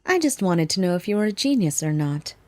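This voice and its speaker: A woman's voice: on the low side, sing-song, brisk, darkish tone, dry recording, studio quality. A woman voicing a composed, firm young female teacher in a manga dub.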